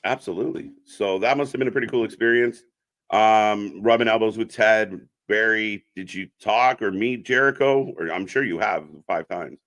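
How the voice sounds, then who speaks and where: Low-voiced man hosting a casual chat. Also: normal speed, a bit bright. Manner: informal, often repeats short affirmations. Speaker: host of a wrestling fan channel.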